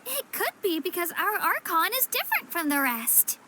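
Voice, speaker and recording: A woman's voice: very high-pitched, sing-song, normal speed, bright, forceful tone, a little hiss or hum, heavily acted. A young woman playing an upbeat, helpful girl guide in a video game, speaking in a friendly, chatty, eagerly explaining way. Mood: earnest, explaining. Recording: music behind the voice. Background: game music.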